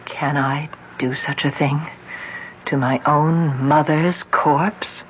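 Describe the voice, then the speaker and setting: A woman's voice: deep voice, fairly even intonation, takes it slowly. Resolute young village woman, grieving, intense theatrical delivery, old radio drama, muffled sound, noticeable hiss. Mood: horrified, dreading.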